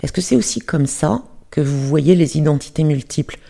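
Radio host, calm probing questions, gravelly, dark tone. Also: medium pitch, normal speed. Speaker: radio book-show host. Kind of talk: interview questions.

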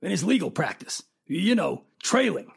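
Husky, gravelly man playing a private detective. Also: sits high, big pitch swings, moderate pace, heavily acted. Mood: uneasy, explaining. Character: menacing, defensive male private detective with a gun. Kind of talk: old-time radio crime drama acting.

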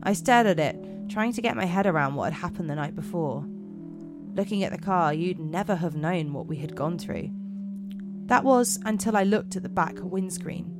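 A woman's voice: on the low side, animated, normal speed, over music, natural voice. A woman putting on an American accent to narrate a frightened first-person horror story. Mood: tense, eerie. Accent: attempted American. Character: narrator of a creepy campfire forest monster story, frightened young American.

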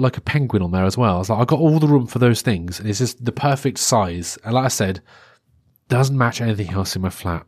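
A man's voice: fairly low pitch, dry recording, clean studio sound. A man in his thirties hosting a solo podcast, chatty and rambling, with a stuffy cold. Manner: rambling and chatty, many restarts and fillers. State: stuffy-nosed, congested. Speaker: podcast host.

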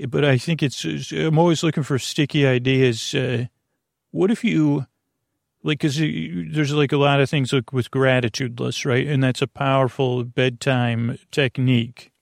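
Male sleep-podcast host, gravelly fry, dry studio sound, halting and meandering bedtime rambler who stumbles on words. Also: medium pitch, fairly even intonation, no echo.

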